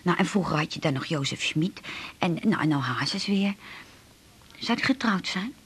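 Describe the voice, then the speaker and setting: An actress playing a scatterbrained, lonely woman in her forties in a radio drama, with a husky voice and a rambling, self-deprecating, colloquial way of talking. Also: lowish voice, animated, moderate pace. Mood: dreamy, chatty. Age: 40s. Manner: rambling, colloquial, tag questions. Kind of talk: naturalistic radio drama dialogue.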